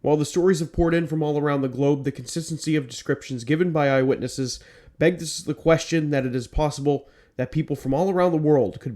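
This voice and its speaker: Podcast guy, a bit stuffy from a cold, rambles with lots of ums, mid pitch. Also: a little mellow. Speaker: podcast host. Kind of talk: scripted narration then casual chat.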